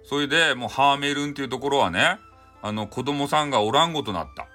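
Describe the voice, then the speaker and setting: A man's voice: medium pitch, fast talker, sharp tone, soft background music. A man with a Hakata accent from Fukuoka retelling a folk tale casually for bedtime, chatty and folksy with frequent asides. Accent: Hakata (Fukuoka) Japanese. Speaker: storyteller, host of audio show.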